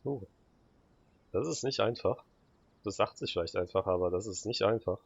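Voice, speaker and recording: A man's voice: on the low side, normal speed, gravelly, breathy edge, highs cut off. A man from Germany giving casual let's-play commentary, chatty and reacting to the scene with short asides. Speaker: game streamer.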